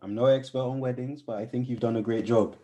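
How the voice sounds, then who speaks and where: A man's voice: medium pitch, steady tone, fast talker, warm tone, close to an everyday voice. A man playing a kindly, polite wedding guest who is easily embarrassed, in naturalistic radio play dialogue with a hesitant, conversational manner. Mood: reassuring.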